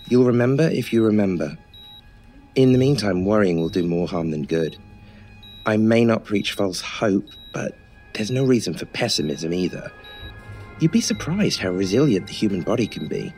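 A man playing a gentle, reassuring hospital nurse in an audio drama, with soothing and hesitant asides. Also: fairly low pitch. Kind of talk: audio drama acting in old-time radio manner.

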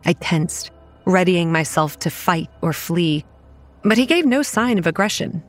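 An actress playing a wary, anxious young campground manager who narrates a horror audio drama in the first person. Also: female voice, fairly low pitch, lively intonation, a little slow, soft background music. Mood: wary narration.

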